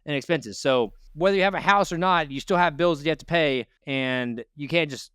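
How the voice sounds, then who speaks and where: Man, finance host, blunt and lecturing, smooth clear voice, higher pitch, dry close sound. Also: a little fast, a bit bright, dry recording. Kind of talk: financial audit interview.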